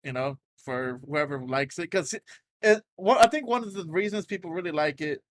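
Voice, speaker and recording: A man co-hosting a casual gaming podcast, loud and animated, tripping over restarts as he makes his points. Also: on the high side, normal speed. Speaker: podcast co-host. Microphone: desk mic, podcast setup.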